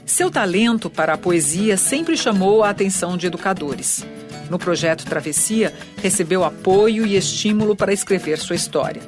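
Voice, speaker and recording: A documentary narrator, reading a scripted report in a measured, even storytelling manner. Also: medium pitch. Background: music.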